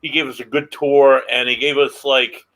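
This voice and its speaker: A man co-hosting a paranormal podcast, recounting an investigation earnestly with halting restarts. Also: medium pitch, little pitch movement, a bit bright, slight background noise, poor audio. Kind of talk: casual chat.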